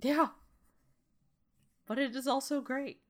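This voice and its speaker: A young woman co-hosting a podcast, playful and quick with jokes, laughing often. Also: high voice, steady tone. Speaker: podcast co-host. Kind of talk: casual podcast chat.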